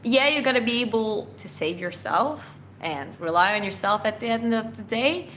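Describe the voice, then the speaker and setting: A woman's voice: a little high, expressive, moderate pace, fairly bright tone, a little hiss or hum. A Georgian woman with an Eastern European accent, whose first language is Georgian, hosting a casual chat Q&A and answering viewer questions in a loose, rambling way with lots of fillers and restarts.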